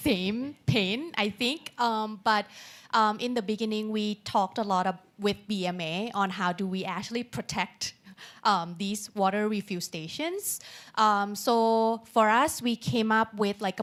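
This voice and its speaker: A woman panel speaker giving an unscripted answer in English as a second language, pausing often to search for words. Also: mid-range voice. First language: not English.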